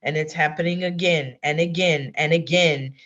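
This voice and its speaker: A woman poet talking casually and deliberately about her own work, repeating words for emphasis, over a dull call recording. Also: low voice, fairly even intonation, a bit bright.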